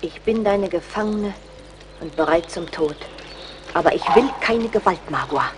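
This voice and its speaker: A woman's voice: fairly low pitch, moderate pace, noisy background, natural voice. An actress playing a proud, defiant young captive woman in a radio play. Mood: defiant, anguished. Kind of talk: dramatic radio play acting.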